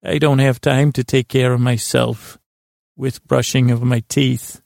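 Man as a creaky, nagging old grandmother. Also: medium pitch, flat delivery, darkish tone, dry recording, clean studio sound. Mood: scornful. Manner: scolding, fussy, halting.

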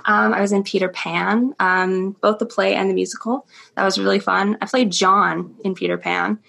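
Young woman, gravelly fry, chatty interviewee with easy laughs. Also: mid-range voice, level tone, normal speed, fairly bright tone. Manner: chatty, laughs often, many ums. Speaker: interview guest, stage performer. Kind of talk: casual interview chat.

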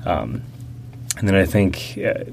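A young man answering interview questions about growing up, talking slowly and reflectively with many fillers and restarts. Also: sits low, big pitch swings, gravelly, a little hiss or hum, rough recording.

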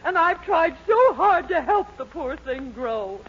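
A woman's voice: very high-pitched, very animated, a little slow, full character voice. An American actress playing an imperious, melodramatic middle-aged landlady and wife in a 1940s radio sitcom. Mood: lamenting. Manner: domineering, theatrical, sharp. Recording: muffled, hissy old 1940s radio recording.

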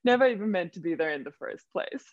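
A woman's voice: fairly low pitch, expressive, fast talker, no room sound. A young woman from Finland, Swedish her first language, chats as an interview guest and strings stories together with easy "like"s and "um"s. Her voice comes through a muffled, lo-fi call line. The sound is dry and close, with no room around it. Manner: chatty, storytelling, frequent "like" and "um". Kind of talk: casual interview chat.

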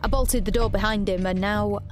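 An actress as a lonely, scared teenage girl confiding her story in a sci-fi audio drama. Her mid-pitched voice carries the narration over faint music. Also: steady tone, normal speed, natural voice. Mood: bleak, fearful. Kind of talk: first-person confessional audio drama narration.

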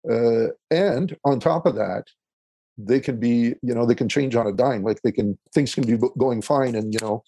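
A man from Eastern Ontario whose first language is French, answering interview questions as a consultant in a halting way, with many fillers and restarts. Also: medium pitch, dark tone, no echo. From Eastern Ontario, Canada. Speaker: guest, consultant.

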